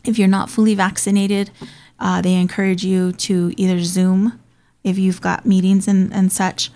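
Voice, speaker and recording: A woman's voice: medium pitch, flat delivery, normal speed, dark tone. A woman who grew up in Hawaii, hosting and talking in a casual, earnest way with frequent ums. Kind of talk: casual conversational talk.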